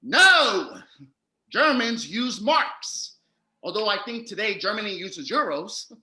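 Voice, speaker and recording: A man acts out an excitable old-world German storyteller for a comic stage show. His voice is piercingly bright and high, with an emphatic, scolding showman's delivery, heard in an echoey room. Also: takes it slowly, heavily acted. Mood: exasperated. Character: Wilhelm Grimm, excitable German storyteller brother of fairy tales. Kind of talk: comedic stage patter and rhymed storytelling. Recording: roomy, echoey home recording.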